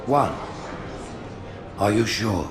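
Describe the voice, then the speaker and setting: An actor playing a stately, calculating alien prophet in a game cutscene, with a measured, grandiose, formal delivery. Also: male voice, fairly low pitch, lively intonation. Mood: probing, measured. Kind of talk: dramatic video game cutscene acting.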